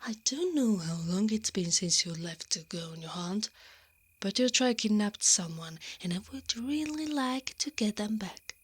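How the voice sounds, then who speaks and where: Here is a brave, determined female knight, acted out by a woman in an ASMR roleplay. She speaks at a measured pace in a breathy, middle-pitched voice that often sinks to a whisper. Her manner is dry and sarcastic, as if muttering to herself. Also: lively intonation. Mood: threatening.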